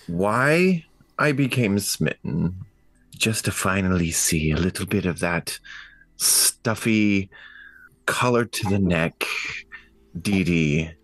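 Slow, low-voiced man. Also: lively intonation, fairly bright tone, no echo, faint music behind, poor audio. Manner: deliberate, halting. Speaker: tabletop game player. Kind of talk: casual game narration.

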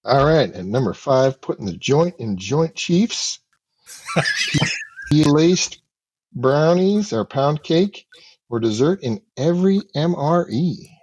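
A man's voice: fairly high pitch, expressive, slow, a little mellow, slight background noise, low-quality recording. A man co-hosting a casual news chat, cracking dry jokes. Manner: dry wisecracks. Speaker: co-host.

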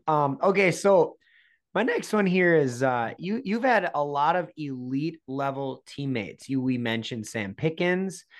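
A man hosting an interview, leading into his questions with long chatty asides. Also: medium pitch. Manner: chatty, rambling lead-ins to questions. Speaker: podcast host.

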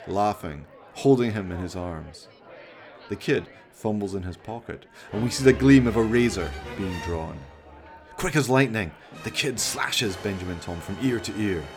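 Low-voiced man narrating. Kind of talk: dramatic scripted narration of a radio play.

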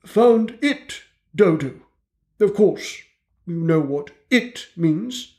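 A woman's voice: fairly low pitch, lively intonation, extremely slow, darkish tone, voice pushed up. A woman voicing a prim, bossy female mouse who lectures a group in a pompous, schoolmarmish way.

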